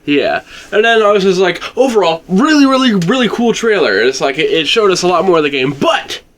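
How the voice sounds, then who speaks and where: A man with a slightly high voice talks as a guest in an informal discussion. His intonation swings widely as he explains, leaning hard on key words with excitable emphasis. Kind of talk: casual discussion.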